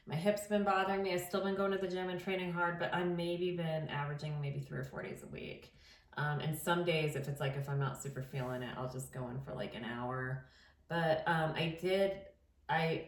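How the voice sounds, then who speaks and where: Young woman, podcast co-host, lowish and slightly nasal, rambling casual chat, off-mic in a roomy space. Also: fairly even intonation, normal speed, distant mic. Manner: chatty, rambling, laughs often. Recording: echoey room, voice a bit off-mic.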